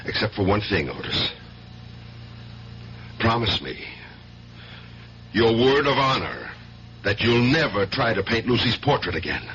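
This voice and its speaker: Grim, insistent cop and protective brother; lowish, very varied delivery, radio play. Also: male voice, very animated, a little slow, long pauses, fairly bright tone, full character voice. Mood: stern, threatening. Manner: emphatic, intense, blunt. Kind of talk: 1970s radio drama acting. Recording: dull vintage radio recording, faint hiss.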